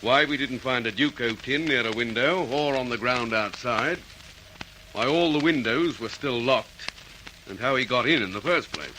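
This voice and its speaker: An actor playing a methodical, courteous police detective in an Australian accent, in classic radio drama style. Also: male voice, medium pitch, moderate pace, bright, forceful tone, slight background noise, natural voice, rough recording. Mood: businesslike, probing.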